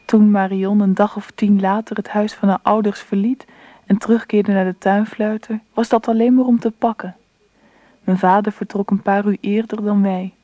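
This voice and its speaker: An actress playing a reflective narrator in a radio drama, telling her story in a measured, scripted way. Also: mid-range voice, flat delivery, mellow, dry recording, lightly acted. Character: reflective woman narrator recalling her childhood. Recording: dull, muffled old radio recording.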